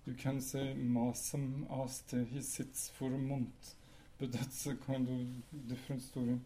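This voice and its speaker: A man whose first language is Norwegian, giving a measured academic lecture with pauses and occasional fillers. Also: mid-range voice, flat delivery, warm tone, slight background noise.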